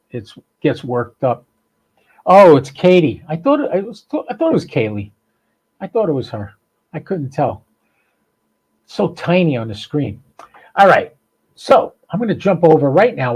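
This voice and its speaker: A man with a fairly high but mellow, relaxed voice hosts an informal hobby stream. He talks in a loose, chatty way, thinking aloud as he rambles from one remark to the next. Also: dull audio. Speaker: amateur radio podcast host. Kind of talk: casual rambling live stream chat.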